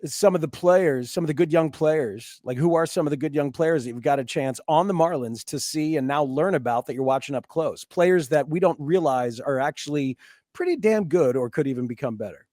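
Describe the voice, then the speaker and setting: A man's voice: fairly high pitch, a little fast, warm tone, dry recording, studio quality. A middle-aged man hosting a conversational sports interview, encouraging, repeating phrases as he forms his thoughts. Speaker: sports radio host, interviewer.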